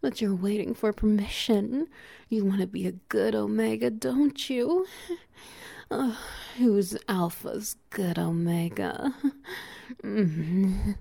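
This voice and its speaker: A woman in an intimate audio roleplay, speaking in a slow, teasing, commanding way that often drops into whispers. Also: medium pitch, warm tone, no echo. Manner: breathy little laughs between lines. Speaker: erotic audio roleplay performer.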